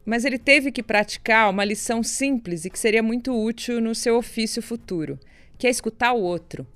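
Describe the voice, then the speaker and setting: A woman from Brazil hosting a podcast, reading a clear scripted introduction and then asking her guest questions, hesitating a little as she phrases them. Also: a little high, a little fast, a bit bright, no echo, faint music behind. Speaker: podcast host and interviewer.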